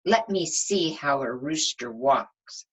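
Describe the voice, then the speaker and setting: A woman's voice: low-pitched, animated, takes it slowly, husky, exaggerated voice, poor audio. A woman reading a storybook and voicing an eager, imaginative young boy who calls out to farm animals in a singsong way. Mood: eager, calling out. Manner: calling out brightly, singsong address.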